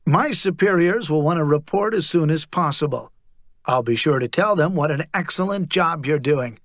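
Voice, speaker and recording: A man narrating a story and voicing a cool, guarded male agent with a quietly malicious edge. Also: fairly high pitch, lively intonation, moderate pace, dry recording, studio quality.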